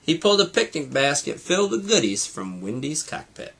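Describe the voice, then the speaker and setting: Male teacher, storybook narrator: slightly high voice, expressive read-aloud with wide, lively intonation. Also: brisk, gravelly. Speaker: teacher reading to students.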